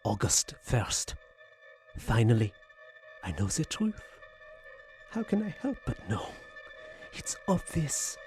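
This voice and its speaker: Haunted Frenchman, feverish, theatrical, formal diction, slow, very animated pitch, audio horror drama. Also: faint music behind. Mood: obsessed, desperate. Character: tormented Frenchman, haunted, feverish, slipping into madness.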